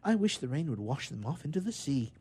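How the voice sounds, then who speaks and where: An excitable young girl in a children's adventure tale, as a male reader puts her on. The voice is lifted high and emphatic, earnest and doubtful by turns, with a slightly husky, soft-edged tone. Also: a little fast. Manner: excitable, emphatic speech in storybook phrasing.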